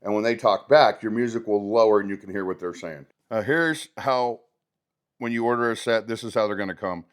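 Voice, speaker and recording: A man's voice: on the low side, a little fast. A man talking casually and conversationally as a product reviewer, showing items step by step in an unboxing video.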